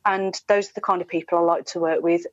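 A British woman with a fairly low voice chats candidly and with emphasis, slipping into little mimicked asides. She is a radio presenter being interviewed over a headset, and the sound is close and dry, a little dull. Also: fairly even intonation, fast, dry recording. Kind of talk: candid conversational interview talk. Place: indoor room at home, video call. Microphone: wired earphone headset on video call.

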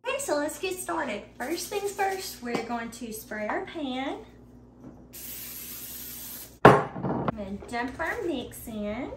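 A woman's voice: high-pitched, very animated, takes it slowly, long pauses, over loud music. Chatty woman baking in her home kitchen. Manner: chatty, upbeat, talks straight to viewers. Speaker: baking vlogger. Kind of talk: casual baking tutorial.

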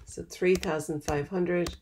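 A woman giving a step-by-step software tutorial over a screen recording, in a plain, patient manner. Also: fairly low pitch, steady tone, unhurried, slight background noise. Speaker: software trainer.

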